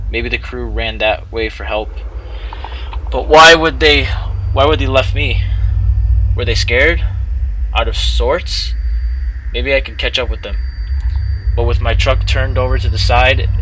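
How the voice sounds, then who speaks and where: A man's voice: mid-range voice, a little hiss or hum, low-quality recording. A man hosting a gaming video, reading story text aloud with commentary and often stumbling over and repeating phrases.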